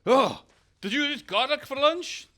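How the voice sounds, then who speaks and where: A man's voice: extremely high pitch, animated, a little slow, sharp tone, exaggerated voice. A man in a radio comedy playing an indignant, melodramatic vampire, speaking in a theatrical, declamatory way. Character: indignant, melodramatic male vampire patient, Dracula type. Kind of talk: comic radio-play acting.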